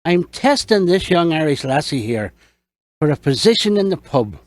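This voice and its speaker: A man voicing a shrewd, lusty young Irish pub owner in an audiobook, sly and coaxing in a measured way. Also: fairly high pitch, sing-song, no room sound, voice pushed up. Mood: startled, composed. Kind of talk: audiobook character dialogue.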